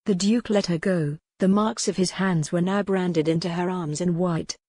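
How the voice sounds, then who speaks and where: A woman's voice: lowish voice, steady tone, normal speed, dark tone, dry recording, studio quality. A woman reading scripted historical romance narration as an audiobook narrator.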